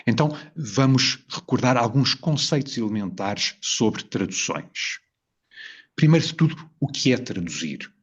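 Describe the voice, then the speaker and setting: Husky-voiced Portuguese man, measured and reflective. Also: medium pitch, expressive, highs cut off. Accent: European Portuguese. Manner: measured, poses rhetorical questions. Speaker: video presenter. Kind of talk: reflective spoken essay.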